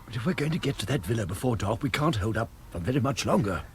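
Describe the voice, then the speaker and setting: A man acting in a radio drama as a weary, anxious gentleman with a formal, fretful manner. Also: medium pitch, lively intonation, fast talker, a little hiss or hum, close to an everyday voice. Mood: low, impatient. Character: weary, anxious gentleman trekking through desert heat.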